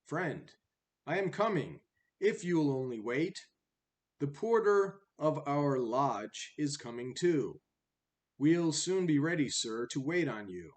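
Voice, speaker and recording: A man's voice: a little high, big pitch swings, slow pace, pitched above the performer's own voice. Man voicing a wily little dog. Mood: falsely polite. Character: small, wily, thin dog talking its way out.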